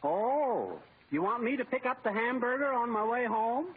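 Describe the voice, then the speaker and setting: A meek little office clerk in an old radio comedy, high-pitched and swooping all over. He is flustered and hesitant and chuckles at his own jokes. The sound is tinny, like an old broadcast. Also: sing-song, normal speed. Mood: mild, resigned. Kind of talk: 1940s radio comedy acting. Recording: tinny, faintly noisy old radio recording.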